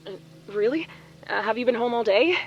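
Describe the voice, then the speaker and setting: A woman's voice: fairly high pitch, animated, fairly quick, muffled recording. An actress playing an anxious, hesitant woman in an audio drama, speaking in a natural everyday way. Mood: worried. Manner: worried, hesitant. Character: anxious, polite woman searching for someone.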